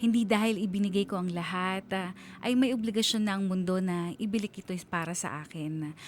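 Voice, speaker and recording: A woman's voice: mid-range voice, darkish tone, slight background noise, lightly acted. An actress playing a lovelorn young letter sender, narrating her heartbreak in an earnest, sentimental radio drama style. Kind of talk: scripted first-person radio drama narration.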